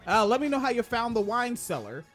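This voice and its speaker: A man with a high-pitched voice, casually co-hosting a live-streamed podcast, rambling, swearing and joking. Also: sing-song, a little fast, fairly bright tone, faint music behind. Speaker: podcast co-host.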